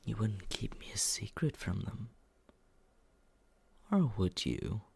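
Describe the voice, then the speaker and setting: A man playing a smug, condescending but secretly caring young roommate in a teasing ASMR roleplay, with breathy, husky whispering and murmuring. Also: fairly low pitch, lively intonation, darkish tone, full character voice. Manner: teasing, condescending, slow drawl.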